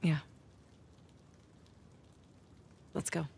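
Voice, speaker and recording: A woman's voice: quick pace, mellow, slight background noise, natural voice. An actress playing a dry-witted, level-headed American adventurer woman in a video game, with an understated, teasing manner. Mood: wary, calm. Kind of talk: cinematic video game acting, naturalistic.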